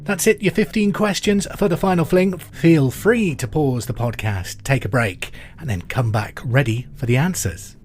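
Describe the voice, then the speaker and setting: A middle-aged man hosting a pub quiz podcast, reading out trivia questions and answers in a clear, deliberate way. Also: medium pitch. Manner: clear deliberate delivery, repeats each question. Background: music bed.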